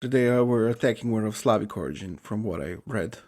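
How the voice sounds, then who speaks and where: A man's voice: on the low side, expressive, quick pace, a little mellow. A man co-hosting a podcast, explaining history in a casual conversational style. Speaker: podcast co-host.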